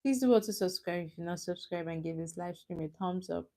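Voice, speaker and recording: A woman's voice: on the low side, brisk, warm tone. A young woman with a Nigerian accent, hosting a casual live stream and chatting with viewers, teasing and laughing often. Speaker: livestream host.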